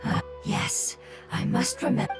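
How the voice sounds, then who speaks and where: A woman voicing a calm, motherly, mysterious wanderer in a video game, speaking in a gentle, measured, slightly hesitant way. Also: lightly acted. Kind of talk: English video game voice acting. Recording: game audio under loud background music.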